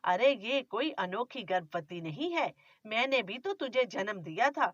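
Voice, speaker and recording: A penny-pinching older mother-in-law nags and scolds in a loud, high voice, as a woman puts her on. The sound is dry and slightly dull. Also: animated, unhurried, dry recording. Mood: scolding.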